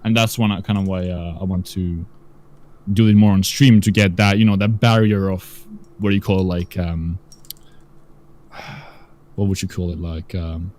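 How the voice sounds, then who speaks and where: A young man chats on a live stream. his voice sits a little low, and he rambles as he gropes for words, leaning on "like" and "um" along the way. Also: steady tone, moderate pace, long pauses, darkish tone. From Russia. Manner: rambling, searches for words with many fillers. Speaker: guest. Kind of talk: casual stream chat.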